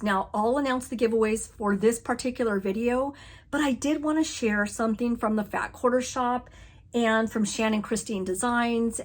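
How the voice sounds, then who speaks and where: A woman hosting a casual craft video, chatting enthusiastically as she shows off patterns and giveaway items, often laughing and exclaiming. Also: on the high side. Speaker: flosstube host. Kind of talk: casual show-and-tell chat.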